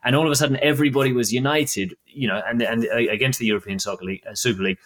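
A man's voice: mid-range voice, very fast, a bit bright. A man hosting a business podcast, asking long conversational questions. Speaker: podcast host. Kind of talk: interview questions.

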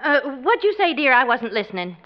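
A woman's voice: high-pitched, very animated, a little fast. An actress playing a practical, unimpressed wife and mother in a classic radio comedy, dry and dismissive. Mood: wearily indulgent. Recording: dull, noisy radio studio with audience.

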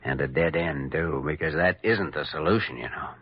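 An actor playing an earnest, principled doctor in an old-time radio drama, sincere and measured. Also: male voice, rumbling low, slightly rough, no echo, exaggerated voice. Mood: gentle, probing. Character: earnest, principled male doctor, caring but firm. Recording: dull vintage radio recording.

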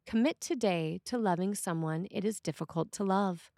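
A woman priest reading a scripted sermon with measured, deliberate phrasing. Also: mid-range voice, creaky, dark tone, no room sound, clean studio sound.

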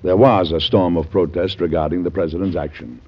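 An actor playing a dignified, warm president in an old radio drama, speaking in a measured, folksy storytelling way. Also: male voice, sits low, fairly even intonation, husky. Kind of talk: 1940s radio drama acting and narration. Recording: muffled old radio recording.

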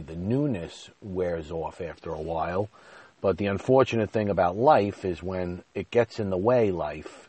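A man's voice: low voice, a little mellow, husky, no echo. A middle-aged man hosting his own podcast, talking at length in an earnest, rambling way, sounding nasal from a stuffy nose and a scratchy throat. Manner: rambling, earnest, with frequent fillers. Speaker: podcast host. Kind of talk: solo podcast monologue.

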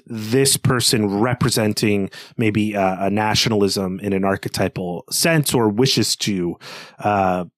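A man's voice: on the low side, dry recording, clean studio sound. A man co-hosting a literary podcast, casually thinking aloud and analysing in a tentative, musing way. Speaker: podcast co-host.

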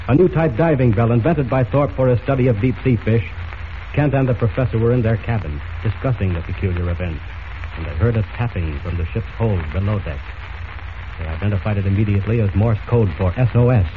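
A man narrating a radio serial as announcer, in a declamatory, dramatic 1940s style. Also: mid-range voice. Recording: tinny, hissy old radio transcription.

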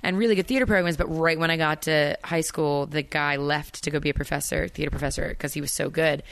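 Low-voiced rambling woman, dry studio sound. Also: steady tone, fast, vocal fry, fairly bright tone, no echo. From Maryland, USA. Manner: rambling, many fillers and restarts. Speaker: actress, guest. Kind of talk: casual interview chat.

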